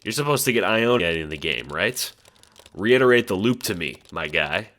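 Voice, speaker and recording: A man acts out a cocky teenage gamer bro in an audio drama. He throws around jokey buddy slang in a low, bright voice with swooping intonation. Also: expressive, normal speed. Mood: playful, upbeat.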